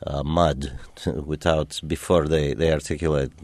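A man from Romania whose first language was German, a radio guest talking in a reflective, halting way with many fillers. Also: a bass voice, fairly even intonation, darkish tone, dry recording. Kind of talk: call-in radio interview.

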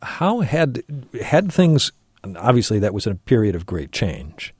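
A man hosting a call-in radio show, asking gentle, hesitant questions. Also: fairly low pitch, sing-song, measured pace, breathy edge, no room sound, studio quality. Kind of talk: radio interview.

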